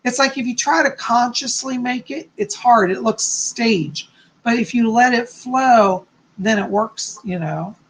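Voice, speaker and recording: A man with a hoarse, slightly raspy voice, chatting casually from home as a psychic hosting his live stream and greeting viewers effusively. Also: extremely high pitch, unhurried. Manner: chatty, effusive, frequent "okay?" and "you know".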